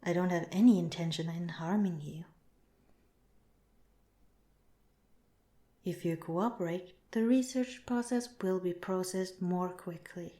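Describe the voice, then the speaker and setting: A woman voicing a calm, clinical alien researcher in an ASMR roleplay, speaking softly with measured, formal politeness. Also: lowish voice, lightly acted. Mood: reassuring, formal. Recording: roomy, echoing sound.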